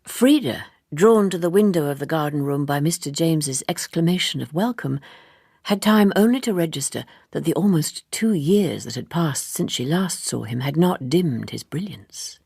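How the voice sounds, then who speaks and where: A woman reading literary narration as an audiobook narrator, measured and precise. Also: low-pitched, animated, vocal fry, a little mellow, husky, no room sound, clean studio sound.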